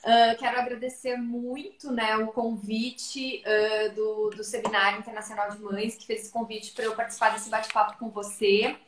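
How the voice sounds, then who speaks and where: A woman's voice: a little high, level tone, moderate pace, hardly any pauses. A woman with a Brazilian Portuguese accent, a guest chatting casually and in a friendly way on a video call. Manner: friendly, chatty, tags sentences with a questioning "né". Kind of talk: casual live chat. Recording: echoey room on a video call, faint noise.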